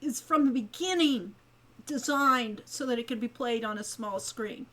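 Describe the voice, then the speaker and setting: Bright, high-voiced woman. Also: measured pace. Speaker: game developer co-hosting a fundraising livestream. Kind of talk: casual livestream chat.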